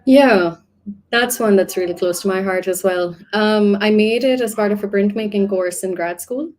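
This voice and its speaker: A woman's voice: mid-range voice, normal speed, darkish tone. A woman from Pakistan, an art professor, answering interview questions in a reflective, talkative way with many casual fillers.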